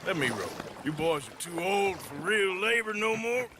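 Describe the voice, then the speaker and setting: An actor playing a gruff, weary male outlaw in his thirties in a game, speaking in a laconic, dry way. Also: high-pitched, lively intonation, moderate pace, very noisy. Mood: teasing.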